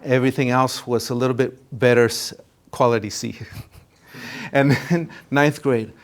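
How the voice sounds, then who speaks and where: Middle-aged man from Ecuador, Spanish first language; college counselor giving a heartfelt personal talk, mid-pitched voice, lots of fillers. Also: fairly even intonation, a little slow. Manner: earnest storytelling with frequent fillers and restarts. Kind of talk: personal story in a presentation.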